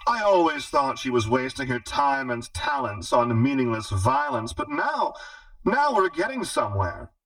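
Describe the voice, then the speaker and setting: A man's voice: medium pitch, sing-song, measured pace, a bit bright, dry recording, recorded from a distance, voice pushed up, low-quality recording. A man voicing a smug, menacing female crime boss in an audiobook, with a condescending, mocking drawl. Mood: smug, amused. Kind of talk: audiobook character dialogue.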